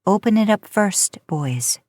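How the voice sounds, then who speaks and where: A woman voicing a gentle, motherly woman in an audio story, speaking softly as she instructs. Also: mid-range voice, lively intonation, unhurried, no echo, studio quality. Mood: gentle, instructive. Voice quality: soft.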